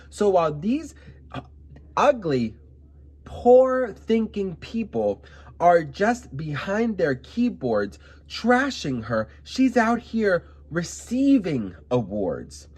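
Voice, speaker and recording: A man's voice: on the high side, a little mellow, breathy edge. A young man from Miami giving sassy, emphatic commentary to camera, often adding "okay?" asides. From Miami, United States. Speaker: vlogger commenting on celebrity news.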